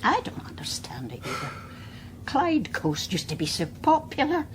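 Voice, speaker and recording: A woman's voice: medium pitch, huge pitch swings, moderate pace, noisy background. An actress playing a fretful older Scottish mother who runs a guest house, chatty and fussing, in a radio drama. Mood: fretful, indignant. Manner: chatty, fussing, mildly indignant. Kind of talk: naturalistic radio drama acting.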